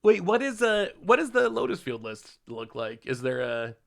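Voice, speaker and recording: A man's voice: high voice, very animated, moderate pace, creaky, a bit bright. A man streaming a card game and chatting casually with his viewers, a little congested from a cold, laughing often as he plays. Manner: chatty, laughs often, thanks chat subscribers. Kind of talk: casual live-stream commentary while playing.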